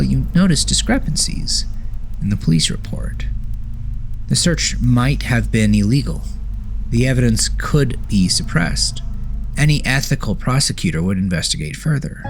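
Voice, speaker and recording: Deep-voiced man narrating horror over music. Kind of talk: scripted second-person horror story narration. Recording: voice over prominent background music.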